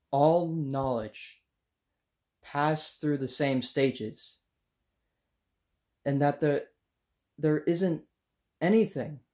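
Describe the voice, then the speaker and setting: A man playing an earnest, idealistic student in a dramatic monologue, halting and searching for words. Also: fairly high pitch, dark tone. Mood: awed. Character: earnest, idealistic, tongue-tied student recalling his teacher.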